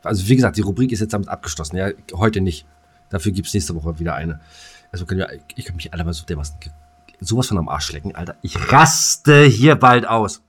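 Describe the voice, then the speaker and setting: A man co-hosts a casual podcast. He rants in crude, sweary slang. His delivery is agitated and halting, full of repeated starts. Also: deep voice, expressive, a bit bright, slightly rough. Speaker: podcast co-host. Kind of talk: casual ranting conversation.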